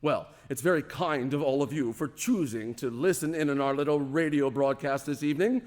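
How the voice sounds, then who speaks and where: A man's voice: mid-range voice, darkish tone. Showy radio host man in a reverberant hall. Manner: showy, jokey, drawn-out announcing. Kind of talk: theatrical live variety radio hosting.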